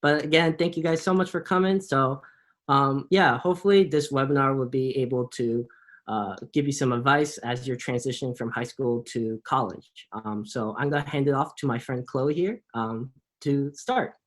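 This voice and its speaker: A man's voice: medium pitch, normal speed, a bit bright. A young man from the New York area opens a student webinar. He speaks in a friendly, upbeat way with a mid-range voice. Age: young adult. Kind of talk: casual presentation.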